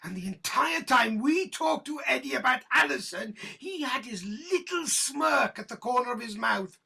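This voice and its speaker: Husky, bitter British police detective. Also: female voice, high voice, expressive, bright, forceful tone, full character voice. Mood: angry, bitter. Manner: halting, bitter, emotionally volatile. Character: embittered, guilt-ridden senior female police detective, obsessive and weary. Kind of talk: naturalistic audio drama acting.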